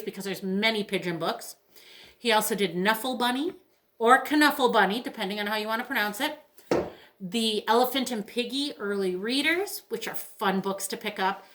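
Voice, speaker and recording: A middle-aged woman with a very bright, crisp-edged voice pitched a little high. She talks like a librarian sharing recommendations, informal and chatty, with open enthusiasm. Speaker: librarian presenting book recommendations. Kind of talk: casual book talk, recommending books.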